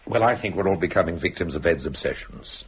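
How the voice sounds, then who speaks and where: An actor playing a flippant, self-mocking British intellectual in a radio drama, wry, wordy and theatrical. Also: male voice, deep voice, expressive, brisk, slight background noise. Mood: wry, urbane.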